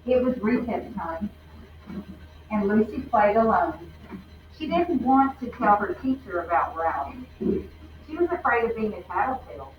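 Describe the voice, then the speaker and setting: A woman reading a picture book aloud to children in a deliberate, emphatic, teacherly way, pausing to put questions to her listeners. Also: big pitch swings. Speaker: children's read-aloud storyteller. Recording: roomy, distant, dull low-fi with faint noise.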